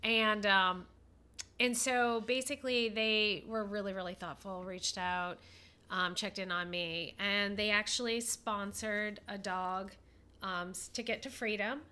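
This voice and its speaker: Bright-voiced, nasal woman with a cold. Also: mid-range voice, steady tone, smooth. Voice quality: a little nasal. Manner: chatty, with many fillers and pauses. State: stuffed up with a head cold. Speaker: podcast co-host. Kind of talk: casual podcast chat.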